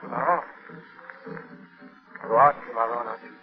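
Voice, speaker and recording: A man's voice: medium pitch, moderate pace, phone-quality sound, soft background music, natural voice. An actor playing an earnest, decent plantation man in a 1940s radio drama, wary and determined. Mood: urgent, wary.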